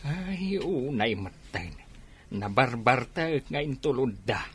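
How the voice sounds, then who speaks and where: A man's voice: medium pitch, lively intonation, slow pace, a bit bright, slightly rough, heavily acted. A man playing a stern, exasperated middle-aged village father with an Ilocano accent, scolding in a radio drama style. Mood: disapproving. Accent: Filipino, Ilocano.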